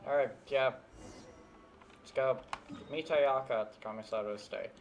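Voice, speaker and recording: A man's voice: medium pitch, moderate pace, soft background music. A man giving casual, offhand playthrough commentary as a game streamer, with a dry manner.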